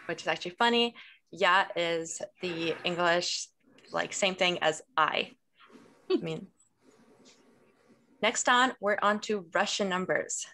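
A woman's voice: fairly low pitch, takes it slowly, pauses often, pushed and bright. A young woman teaching the Russian alphabet on a video call, friendly and laughing easily. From Kyrgyzstan (family). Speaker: student language presenter. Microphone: video call laptop mic.

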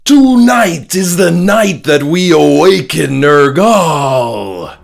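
Man performing a fanatical cult pastor, horror audiobook, drawn-out preaching, exultant, booming, very slow delivery. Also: very animated, vocal fry, exaggerated voice. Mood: fervent, shouting. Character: fervent, menacing cult pastor rallying his congregation.

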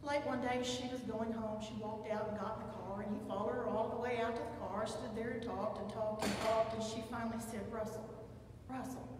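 An older woman pastor with a Southern American accent, reading scripture and preaching in a measured, reflective way. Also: a little high, steady tone, recorded from a distance, noisy background. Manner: measured, reflective delivery with slow emphatic pauses. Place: large church sanctuary with pipe organ, at a pulpit. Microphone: far camera, pulpit microphone. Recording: echoey church hall, heard from afar.

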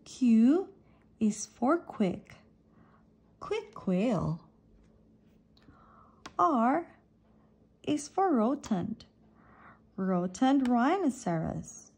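A woman reading an alphabet picture book aloud for children, deliberately setting apart each letter and phrase. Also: on the high side, sing-song, a little mellow, breathy edge.

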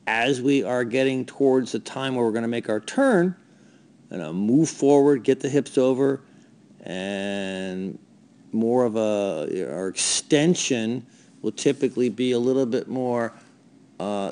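A man coaching a young ski racer in a voice-over, talking over video in a relaxed, hesitant way with many fillers. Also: medium pitch.

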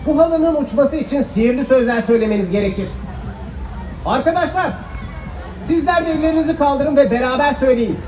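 A man's voice: very high-pitched, brisk, a little mellow, some chatter behind. A man playing a cheeky, clever young trickster boy, projecting playfully to children in a fairy-tale stage show. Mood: playful, instructing. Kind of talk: children's stage musical acting. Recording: echoey hall stage sound, music, audience.

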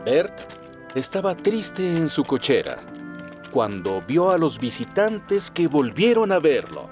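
Animated male Latin American storyteller. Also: fairly high pitch, takes it slowly, over music. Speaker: narrator. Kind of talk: children's storybook narration.

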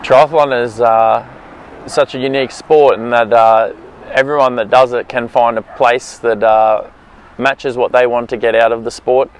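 A man in his forties, a triathlon coach, explaining thoughtfully with many pauses beside an outdoor pool on a lapel mic. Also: medium pitch, fairly even intonation, smooth, rough recording. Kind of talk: interview explanation.

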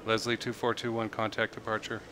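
A man playing air traffic controller, speaking in clipped, deliberate procedural phrases with muttered commentary. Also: lowish voice, completely flat delivery. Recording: very noisy, engine drone behind. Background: flight simulator aircraft ambience.

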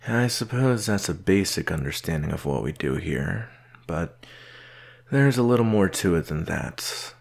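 A man playing a stern, solitary research doctor in an audio roleplay, speaking in a measured, dry, curt manner with a gravelly voice. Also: deep voice, expressive, full character voice. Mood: patient, measured. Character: gruff male researcher doctor, solitary, stern but patient mentor. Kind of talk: slow audio roleplay acting addressed to the listener.

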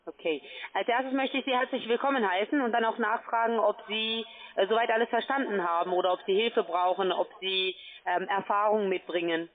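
Female call centre agent, medium pitch, scripted sales delivery, telephone-quality sound. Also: a little fast. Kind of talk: scripted phone sales talk. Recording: band-limited, tinny phone line.

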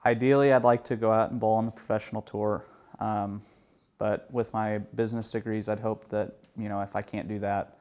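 A young college-age man answers interview questions in a low, even tone with little rise and fall. His voice is dark and mellow, and his delivery stays matter-of-fact. He sits in an office chair in a bright indoor room. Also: normal speed. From Missouri, USA. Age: young adult. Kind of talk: short answers to interview prompts.

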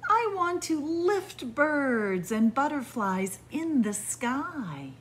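A middle-aged woman librarian reading a picture book aloud to young children in a library, in a sing-song way with soft hushed asides. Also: high voice, big pitch swings, very slow, a little hiss or hum. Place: library with bookshelves, indoors.

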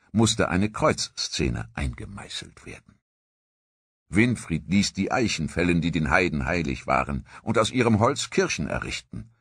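A man narrating a history documentary in a measured, scripted storytelling manner. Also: low-pitched, fairly bright tone, husky, dry recording.

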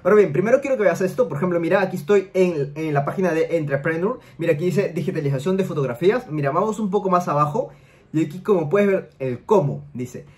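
A young man hosting a screen-share tutorial from a room at home, explaining quickly and tagging his sentences with questions to the viewer. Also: sits high, lively intonation, darkish tone. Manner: rapid explainer, tag questions. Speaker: money-making YouTuber. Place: home room, seated in gaming chair.

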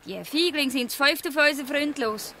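A woman's voice: sits high, fairly quick, bright, forceful tone, slight background noise. An actress playing a bold, cheeky girl gang leader in a Swiss German dialect radio play, speaking with confidence. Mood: cheeky, defiant.

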